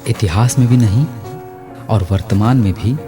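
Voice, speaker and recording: An actor playing an aging, remorseful scholarly father in a reflective radio drama, speaking pensively with halting, dramatic pauses. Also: fairly low pitch, over music, close to an everyday voice. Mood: wistful, regretful. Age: older. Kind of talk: Hindi radio drama monologue, literary and reflective.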